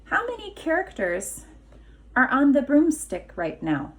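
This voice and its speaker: A woman kindergarten teacher reading a children's storybook aloud expressively, deliberate and emphatic, pausing for questions. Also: high voice, huge pitch swings.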